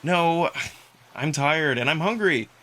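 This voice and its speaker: A man's voice: on the high side, animated, slow, pushed and bright, voice pushed up, full character voice. A man playing a wry, easygoing young human in a visual novel, talking casually and with some self-deprecation. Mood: tired. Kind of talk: visual novel character acting.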